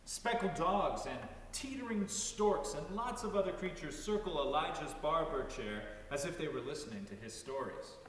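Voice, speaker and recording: A man with a slightly high voice reads a story aloud in the first person. The sound is heavily echoed and distant, with faint noise in the background. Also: lively intonation, moderate pace, few pauses. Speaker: reader in a dramatic reading.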